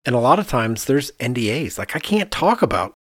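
A man's voice: mid-range voice, sing-song, brisk, no room sound, clean studio sound. A man who works as an architect, chatting casually as a podcast host in an emphatic way with rhetorical questions. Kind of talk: casual conversational discussion.

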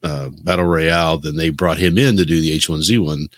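Very deep-voiced man chatting casually. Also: fairly even intonation, brisk, dry recording. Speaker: podcast co-host. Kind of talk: casual chat about video games.

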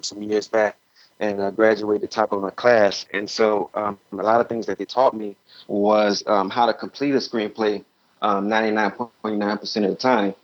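Low-voiced man on a muffled call. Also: no echo. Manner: halting, repeats words as he goes. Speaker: interview guest, screenwriting teacher. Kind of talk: casual, explanatory interview talk. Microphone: remote call. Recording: dull lo-fi call audio.

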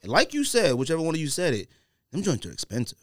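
A man's voice: on the high side, fast, dry recording, studio quality. An American man hosting a podcast, talking casually in slang and acting out imagined quotes as he tells it. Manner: animated, slangy storytelling with acted-out imagined quotes. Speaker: podcast host.

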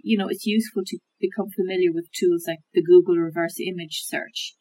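A woman with a slightly husky, fairly low voice explains things in a measured, thoughtful way. She pauses on fillers and restarts her sentences as she works through each point. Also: fairly even intonation, mellow. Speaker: guest expert on media literacy. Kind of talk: conversational explanation.

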